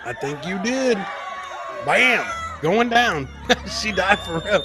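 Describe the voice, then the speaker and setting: An American man hosting a comedy podcast, loudly commenting on funny clips in a boisterous way, swearing freely and throwing out catchphrases. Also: high voice, expressive, slow pace, a crowd in the background.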